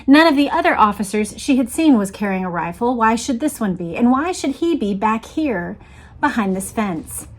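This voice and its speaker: A woman host talking through material and reading aloud in a deliberate, emphatic, explanatory way. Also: fairly high pitch, sing-song. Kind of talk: explanatory talk and dramatic read-aloud.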